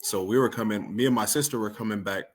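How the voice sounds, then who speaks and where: American guy on a podcast as guest, lowish voice, laid out casual n earnest. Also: quick pace, background noise. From Hawaii and San Diego, USA. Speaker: interview guest. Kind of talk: casual chat.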